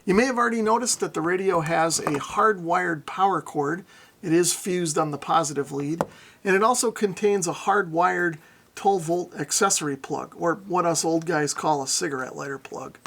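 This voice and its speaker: A man's voice: sits high, normal speed, fairly bright tone, a little hiss or hum. A middle-aged man narrating a casual hands-on product demo, explaining things methodically and without hurry. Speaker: radio reviewer, presenter.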